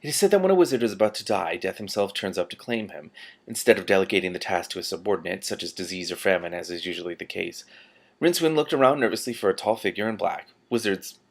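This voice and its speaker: A man's voice: deep voice, lively intonation, fast talker. A man reading a fantasy novel aloud expressively, breaking off for casual reactions.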